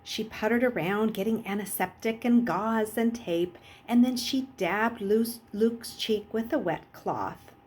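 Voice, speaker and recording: A woman reading a children's novel aloud as an expressive storyteller. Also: mid-range voice, measured pace, few pauses, slightly rough, low-quality recording. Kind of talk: expressive audiobook-style narration.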